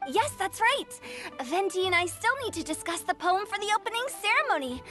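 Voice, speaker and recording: A woman's voice: very high voice, sing-song, fairly quick, pushed and bright, soft background music, exaggerated voice. A woman voicing a playful, mischievous young girl poet in a video game, with a teasing, flowery, theatrical delivery. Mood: coaxing, playful. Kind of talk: animated video game dialogue.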